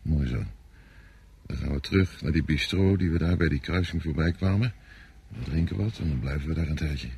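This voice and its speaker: Deep-voiced, cynical veteran soldier. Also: male voice, brisk, creaky, a little mellow, breathy edge, full character voice. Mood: dry, self-assured. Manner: knowing, explanatory, self-assured. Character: worldly-wise, cynical male veteran soldier, unhurried. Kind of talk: Dutch radio drama acting. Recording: noisy radio play recording, outdoor ambience.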